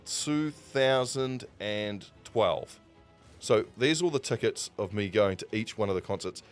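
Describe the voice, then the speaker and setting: A man with a New Zealand accent telling stories casually as a livestream host, in an animated raconteur's way with dramatic pauses. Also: on the low side, clean tone. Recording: music playing behind the voice. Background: background music.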